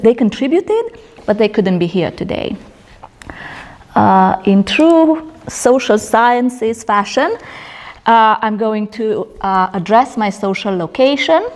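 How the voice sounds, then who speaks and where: A middle-aged woman from Romania speaks at a conference about her research. Her delivery is earnest, and she often stops on fillers or starts a sentence over. Her pitch sits in the middle of the usual range for a woman. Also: creaky, darkish tone. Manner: earnest, with many fillers and restarts. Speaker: conference presenter, doctoral candidate. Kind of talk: academic conference talk. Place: conference room.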